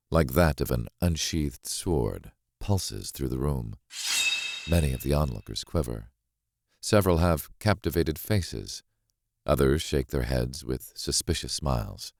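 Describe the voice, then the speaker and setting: A man narrating a thriller novel as a grave, watchful witness, measured and deliberate in his delivery. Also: a bass voice, creaky, darkish tone, no room sound, clean studio sound, exaggerated voice. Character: grave, watchful man recounting a horrific stage act. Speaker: audiobook narrator.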